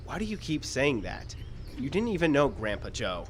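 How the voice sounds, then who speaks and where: A man's voice: medium pitch, sing-song, moderate pace, close to an everyday voice. Actor as Carl, morose guilt-ridden man. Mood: defensive. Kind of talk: live audio drama acting. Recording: outdoor live stage, faint background noise.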